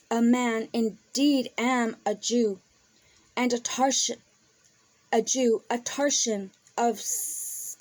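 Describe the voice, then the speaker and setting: Slow, high-voiced woman reading scripture. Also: a little mellow, rough recording. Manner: halting word-by-word reading with self-corrections. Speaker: scripture reader. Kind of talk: slow literal Bible reading.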